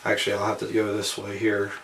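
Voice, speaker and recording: A man's voice: lowish voice, completely flat delivery, fast talker, fairly bright tone, distant mic, slight background noise. A man hosting a homemade video, reading aloud from a printed page and adding musing, informal commentary. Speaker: video host reading and commenting on an old newspaper. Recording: roomy, set back from the mic.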